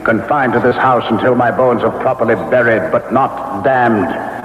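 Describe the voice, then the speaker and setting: An actor playing a grave, moralizing ghost of a man in a classic radio mystery, speaking in measured, formal, sermonizing phrases. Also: medium pitch, lightly acted. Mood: solemn, condemning. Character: male ghost, century dead, grave, moralizing, solemn. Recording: echoey, noisy, tinny old radio broadcast.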